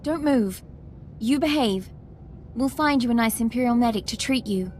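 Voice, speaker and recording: A woman playing a dutiful young military ensign in a video game, brisk and earnest. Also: on the high side, a little mellow, a little hiss or hum, close to an everyday voice. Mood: reassuring.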